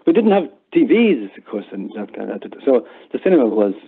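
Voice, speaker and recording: A man's voice: medium pitch, very animated, a little fast. An older Irish man chatting casually and reminiscing as an interview guest, often repeating words as he goes. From Ireland. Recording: muffled, phone-like narrowband line.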